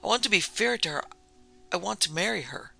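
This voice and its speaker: A man voicing an earnest, anxious young son in a storybook, halting and pleading but stubbornly defiant. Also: on the high side, vocal fry, pushed and bright, soft background music, heavily acted.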